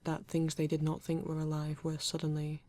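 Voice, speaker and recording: A woman's voice: low voice, completely flat delivery, warm tone, natural voice. A woman playing a male android police detective with a British accent, formal, precise and proud yet earnest, in an ASMR roleplay. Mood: thoughtful, reserved. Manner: formal, precise, measured, avoids contractions.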